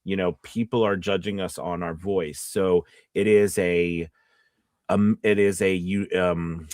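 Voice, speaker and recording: A man's voice: sits low, steady tone, measured pace, a little mellow, dry recording, studio quality. A man hosting a casual live Q&A as a voice-over coach, talking in a hesitant, stop-and-start way.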